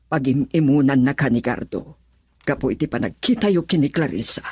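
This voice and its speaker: A woman's voice: a bass voice, expressive, a little fast, a little mellow, breathy edge, no echo, studio quality, heavily acted. An actress with a Filipino accent playing a wronged, earnest wife and mother in a radio drama. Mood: grave warning. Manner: earnest, emotional.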